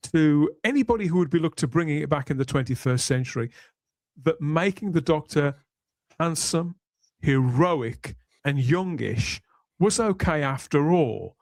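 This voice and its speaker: A man with a fairly high voice hosts a panel discussion podcast. He talks in a measured, reflective flow with deliberate pauses. The sound is dry, with no room around it. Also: dry recording. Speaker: podcast host.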